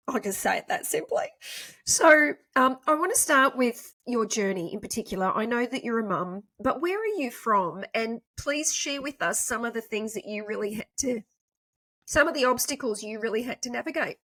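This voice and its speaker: A woman's voice: a little high, a bit bright. A woman hosting a podcast, asking long questions with frequent restarts and repeated words. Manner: halting, repeats words while forming questions. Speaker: podcast host. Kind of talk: interview questions.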